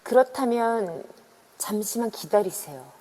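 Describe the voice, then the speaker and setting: A woman's voice: mid-range voice, animated, unhurried, mellow. A woman voicing a worried middle-aged woman who earnestly warns visitors away, in an amateur audio drama. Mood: grave. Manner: earnest, urgent pleading. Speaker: voice actor. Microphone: phone in an online voice room. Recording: long reverb on a voice chat app.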